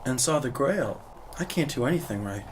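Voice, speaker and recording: A man's voice: mid-range voice, expressive, moderate pace, slight background noise, close to an everyday voice, poor audio. Man playing an earnest, impulsive young knight. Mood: puzzled, defensive. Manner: earnest, questioning. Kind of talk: theatrical drama dialogue. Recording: music under the voice.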